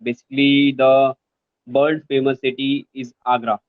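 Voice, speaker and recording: A man's voice: mid-range voice, level tone, measured pace, no room sound, narrow, tinny audio. A young man from India with an Indian accent, learning English and talking hesitantly with many fillers. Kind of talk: casual conversation lesson.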